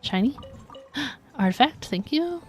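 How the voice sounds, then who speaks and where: A woman's voice: sits high, animated, slow pace, darkish tone. A woman live-streaming a game with casual, chatty, playful commentary.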